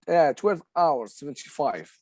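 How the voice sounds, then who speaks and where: A man's voice: fairly high pitch, animated, a little slow, a little mellow, no room sound, clean studio sound. A man in his forties from Morocco, an adult English student speaking hesitantly with many fillers and restarts. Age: 40s. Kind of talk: casual conversation.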